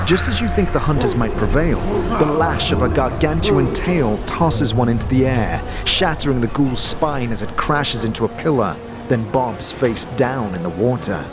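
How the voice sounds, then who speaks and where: A man narrating a fantasy audio drama as game master, with dramatic, descriptive storytelling. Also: mid-range voice. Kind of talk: scripted second-person fantasy narration. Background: music.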